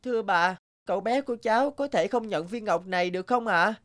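A woman's voice: medium pitch, brisk, close to an everyday voice. A woman voicing an anxious, earnest little boy guardian angel in a cartoon, with a childlike, insistent manner. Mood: pleading, polite. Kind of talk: Vietnamese cartoon dubbing.

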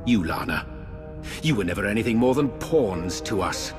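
Game villain, male, smug schemer; lively, theatrical reads by a male actor. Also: lowish voice, moderate pace, natural voice. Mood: contemptuous. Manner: condescending and theatrical. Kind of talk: video game voice acting. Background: game music.

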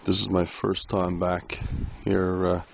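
A man casually narrating an ice-fishing trip, halting with many fillers, recorded outdoors on a frozen lake. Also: deep voice, completely flat delivery, gravelly. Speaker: outdoor vlogger. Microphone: handheld camera mic. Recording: wind noise on a lo-fi camera mic.

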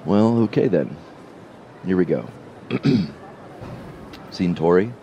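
An actor playing an insinuating, falsely friendly space-station policeman, drawling and halting as he needles, in an audio drama. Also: low-pitched, expressive, mellow, muffled recording, slight background noise, full character voice.

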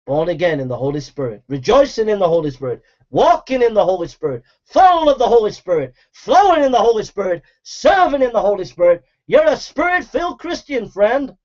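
High-pitched guy preaching repent-or-else, scolding and repeating himself, muffled lo-fi sound. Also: a little mellow. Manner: urgent, scolding, repetitive. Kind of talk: fiery confrontational sermon.

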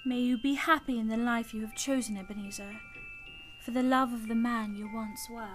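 A woman playing a gentle, sorrowful young sweetheart in a radio play, earnest and measured. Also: a little high, fairly even intonation, faint music behind, natural voice. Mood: tender sorrow.

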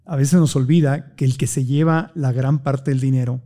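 A man's voice: medium pitch, fairly even intonation, normal speed, warm tone. A middle-aged man with a Mexican accent, hosting a studio interview and asking reflective, leading questions at a desk microphone. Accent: Mexican Spanish. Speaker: host. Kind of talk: interview conversation. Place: studio with blue-lit curtains. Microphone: studio mic on a desk stand.

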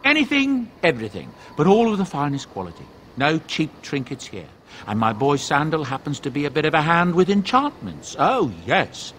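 A chatty dwarf trader from a fantasy game, pitched a little high and swooping with theatrical eagerness. He flatters and sells like a doting, middle-aged peddler, over noticeable background ambience. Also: normal speed, a little hiss or hum. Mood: ingratiating, eager. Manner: salesmanlike, ingratiating, theatrical. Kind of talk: video game character acting.

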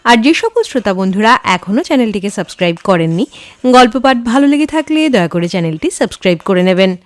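A woman reading a story aloud as narrator, in a measured, expressive storytelling manner. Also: mid-range voice, no room sound. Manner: measured, dramatic storytelling delivery. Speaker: storyteller, channel host.

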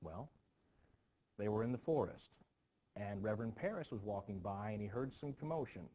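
A man teaching students about a play in an informal, animated storytelling manner. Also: on the low side, moderate pace. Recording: clearly noisy background.

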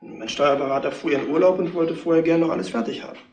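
An actor playing a nervous, affronted pub manager in a radio crime drama, flustered and stammering. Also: male voice, on the high side, steady tone, quick pace, vocal fry, a little mellow. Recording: roomy, faintly noisy radio play recording.

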